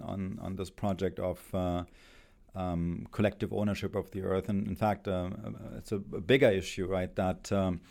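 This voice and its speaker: A middle-aged man with a German accent, a philosophy professor speaking as a guest, halting and thoughtful with many pauses and restarts. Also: low voice, darkish tone. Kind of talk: thoughtful academic conversation.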